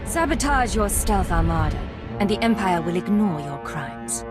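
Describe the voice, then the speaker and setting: A woman's voice: lowish voice, sing-song, moderate pace, over music. A woman playing an unflappable imperial secret agent in a video game, with a dry, controlled manner. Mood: cool threat.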